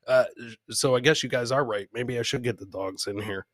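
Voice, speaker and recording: A man's voice: mid-range voice, normal speed, a bit bright, dry recording. Middle-aged man, dry close-sounding comedy host. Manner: informal, swearing, repeats phrases for emphasis. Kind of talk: casual live-stream comedy chat.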